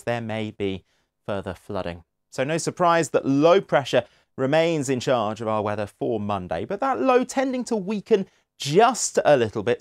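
A man in his forties presenting a scripted TV weather forecast from a studio on a lapel mic, with a measured, clear broadcast delivery. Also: on the high side, dry recording. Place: studio in front of weather graphics.

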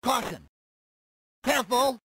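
A woman's voice: a little high, takes it slowly, a bit bright, slightly rough, no room sound, heavily acted. An urgent female spaceship announcer, fires off short, emphatic alerts for an arcade game. Her delivery is clipped and the sound is dry.

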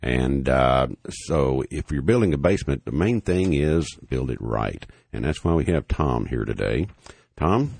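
Older man, extremely deep gravelly voice. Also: lively intonation, unhurried, few pauses, darkish tone, no room sound. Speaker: radio show host and home builder. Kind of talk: unscripted radio talk.

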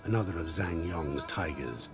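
An actor playing an older assassin master in a video game, a calm, patient mentor who speaks gravely and deliberately. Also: male voice, sits low, over music. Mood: cautioning. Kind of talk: dramatic game cutscene dialogue.

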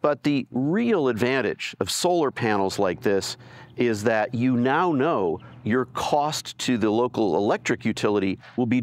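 A man's voice: medium pitch, no room sound. Older man explaining things to camera, dry sound. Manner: plain, conversational, explaining with hand gestures. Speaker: presenter. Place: outdoors by solar panels and at a winery.

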